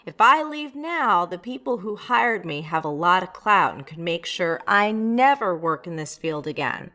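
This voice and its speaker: A woman giving advice in a lively, sing-song way, her pitch swinging up and down as she stresses key points. She speaks slowly and deliberately, with playful, upbeat emphasis. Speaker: advice host answering a letter. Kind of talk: reading a letter and giving advice.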